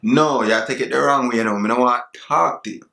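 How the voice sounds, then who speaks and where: A man's voice: mid-range voice, fast, vocal fry, sharp tone, roomy sound. Man putting on a teasing Jamaican-style patois. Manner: teasing, flirty, slangy patois put-on. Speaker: prank video host. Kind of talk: putting on a Jamaican accent on a chat app.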